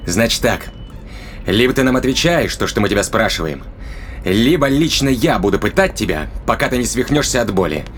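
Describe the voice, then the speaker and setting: Low-voiced, ruthless starship interrogator. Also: male voice, sing-song, fairly quick, a little hiss or hum. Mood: cold, threatening. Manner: curt, menacing, commanding. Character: commanding, ruthless male spaceship owner interrogating a captive. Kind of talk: audio drama acting.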